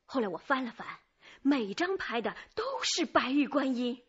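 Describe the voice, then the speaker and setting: An actress in a radio drama playing a gossipy, eager store employee who talks in a chatty, emphatic, insinuating way. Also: female voice, sits high, lively intonation, moderate pace, breathy edge, dull audio, full character voice. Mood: insistent, suspicious.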